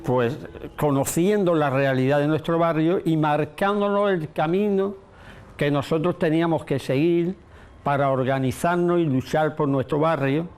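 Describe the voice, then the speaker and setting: A man's voice: fairly high pitch, moderate pace, darkish tone, slight background noise. A man, a neighbourhood resident speaking in a documentary interview.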